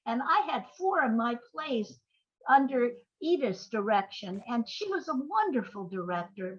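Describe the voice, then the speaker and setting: An older woman reminiscing in a conversational interview, wordy and halting as she corrects herself. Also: on the high side, fairly bright tone, husky. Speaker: interview guest, playwright and screenwriter. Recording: dull, lo-fi audio.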